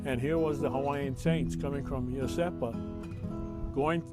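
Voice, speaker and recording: A man recalling the past in a slow, reflective way. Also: medium pitch, steady tone. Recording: loud guitar music underneath.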